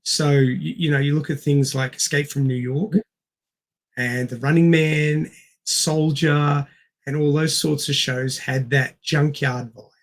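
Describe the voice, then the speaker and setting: Middle-aged man with a gravelly creak. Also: on the high side, fairly even intonation, unhurried. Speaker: podcast co-host. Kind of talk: casual film discussion.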